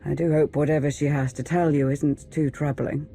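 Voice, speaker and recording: An actor playing a cautious, caring companion in a game cutscene, polite and articulate with wry phrasing. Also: male voice, fairly high pitch, level tone, moderate pace, creaky, mellow, soft background music. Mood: wary concern. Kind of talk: video game cutscene acting.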